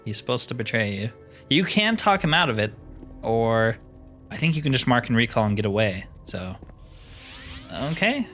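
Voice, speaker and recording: A man's voice: medium pitch, big pitch swings, moderate pace, long pauses, fairly bright tone, faint music behind. A man giving casual commentary as he plays a role-playing game. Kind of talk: casual game commentary, reading quest dialogue aloud.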